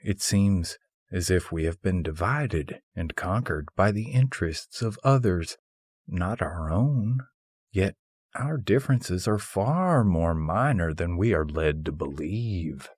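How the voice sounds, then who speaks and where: A man reading a humorous philosophical essay as scripted audiobook narration, with husky, measured, deliberate phrasing. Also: sits low, animated, darkish tone, no room sound.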